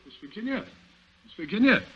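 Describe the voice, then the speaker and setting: A man's voice: sits high, big pitch swings, brisk, a little hiss or hum. An actor playing a formal, deferential male chauffeur with a coolly calculating air, in 1940s radio drama style. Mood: deferential urgency. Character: servile male chauffeur, secretly a smug calculating killer. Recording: muffled vintage radio recording with music.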